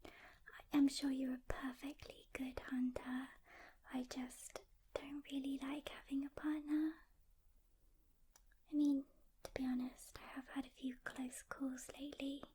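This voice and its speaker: A woman playing a reluctant loner demon hunter in an ASMR roleplay, soft-spoken and whispering, with a casual, halting delivery. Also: high voice, little pitch movement, slight background noise. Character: seasoned female demon hunter, reluctant loner, guarded but caring.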